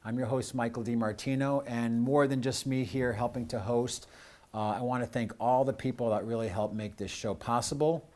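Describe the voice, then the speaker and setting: An American man speaking as host of a community show, addressing his audience off the cuff in an earnest, halting way with drawn-out pauses. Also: medium pitch, fairly even intonation, darkish tone. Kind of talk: unscripted closing address to audience with thanks.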